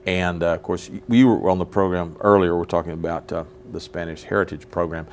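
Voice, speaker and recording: An American man hosting a local TV interview, talking in a folksy, halting way with many restarts. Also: sits low, steady tone, slight background noise. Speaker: host.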